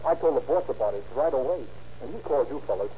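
A man's voice: mid-range voice, lively intonation, fast talker, warm tone, close to an everyday voice. An actor playing a folksy young hired man from a ranch in an old radio drama, stammering and rustic in his phrasing. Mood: nervous, eager. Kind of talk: 1930s-40s radio drama acting. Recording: muffled, noisy old-time radio recording.

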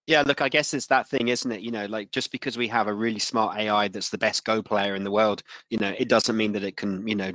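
A man hosting a casual interview, speaking in a halting way and often repeating words as he frames questions. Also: on the low side, steady tone, a bit bright, dry recording. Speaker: interviewer, podcast host.

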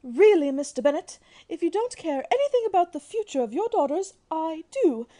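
A bossy, flustered middle-aged mother in a radio play. Her voice is extremely high yet soft-edged, and she insists fretfully. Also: very animated, brisk. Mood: flustered, indignant. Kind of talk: old-fashioned radio drama acting.

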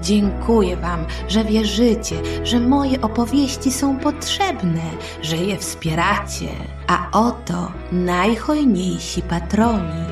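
A woman host narrating a history story for children in a colloquial, expressive way. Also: mid-range voice, slow pace. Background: background music.

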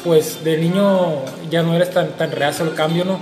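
A man's voice: a little high, steady tone, normal speed. A man co-hosting a casual panel discussion, hesitant and searching for words, with dry jokes. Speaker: co-host. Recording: echoey room with music behind.

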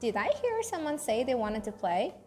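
Woman voicing a bubbly, high-pitched toy horse. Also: sing-song, normal speed, a little mellow, faint music behind. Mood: playful, excited. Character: Dottie, bouncy playful female spotted toy horse.

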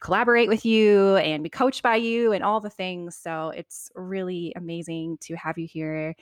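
A woman hosts her own podcast, reading a bright scripted introduction before easing into relaxed, chatty conversation. Her voice sits a little low, and the recording is dry and close with no room sound. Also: moderate pace, dry recording. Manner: warm gushing intro read, then chatty and relaxed. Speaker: podcast host. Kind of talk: scripted intro then casual interview. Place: home, video call.

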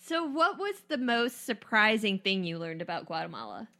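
A woman's voice: mid-range voice, sing-song, normal speed, bright, forceful tone. A woman hosting a casual podcast, asking questions and reacting briefly. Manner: prompting questions, short reactions. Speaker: podcast host. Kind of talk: casual interview chat.

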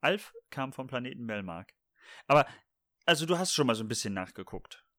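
A man's voice: mid-range voice, big pitch swings, brisk, pushed and bright, no room sound. Playful man with a dry close sound. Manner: playful, jokey, rambling with many restarts. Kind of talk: casual chat.

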